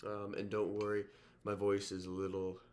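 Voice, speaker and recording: Teacher guy w a sore throat, kinda nasal, lots of ums, fairly low voice. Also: monotone, normal speed, darkish tone. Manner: informal, many ums and restarts. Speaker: history teacher. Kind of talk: recorded online lecture.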